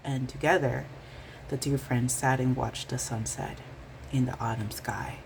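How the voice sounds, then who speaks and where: A woman reading a children's bedtime story aloud in an expressive storytelling style. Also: rumbling low, measured pace, gravelly, noisy background.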